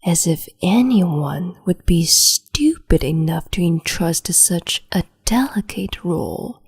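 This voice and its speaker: A woman voicing a cruel, capricious empress in an ASMR roleplay, speaking slowly and mockingly and slipping into whispers. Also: lowish voice, expressive, husky, dry recording. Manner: slow, mocking, condescending, drifts into whispers.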